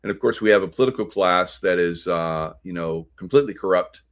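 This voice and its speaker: Low-pitched American man, halting political commentary. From United States. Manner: halting, rambling delivery. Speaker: host of a political commentary show.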